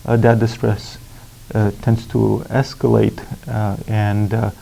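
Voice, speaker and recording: A man with a gravelly, dark voice of medium pitch answers as an expert. His explanations are formal and deliberate, broken by halting pauses. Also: level tone, rough recording. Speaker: expert interviewee. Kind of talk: explanatory interview.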